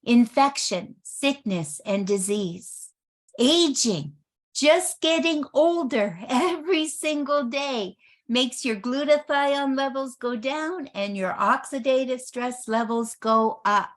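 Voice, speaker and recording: Slow, emphatic woman in her early sixties. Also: sits high. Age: early 60s. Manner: emphatic, deliberate, repeats phrases for effect. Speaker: health product presenter. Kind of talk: motivational product presentation to an audience.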